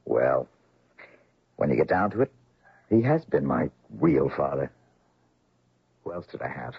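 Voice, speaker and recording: Deep husky doctor, radio drama. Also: male voice, very animated, unhurried, full character voice. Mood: dutiful, sober. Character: earnest, mild-mannered male doctor, dutiful grown son. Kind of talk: old-time radio drama acting. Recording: dull lo-fi old radio recording.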